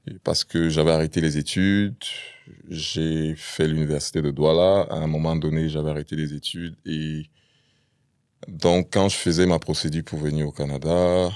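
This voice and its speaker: A man's voice: rumbling low, flat delivery, normal speed, a little mellow, smooth, no echo, studio quality. A man in his thirties from Cameroon, a podcast guest speaking casually with many hesitant fillers. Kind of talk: casual interview.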